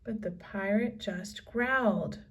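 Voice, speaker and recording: A woman's voice: mid-range voice, slow pace, husky, distant mic. A woman narrating a children's adventure story as a scripted read-aloud. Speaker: storybook reader.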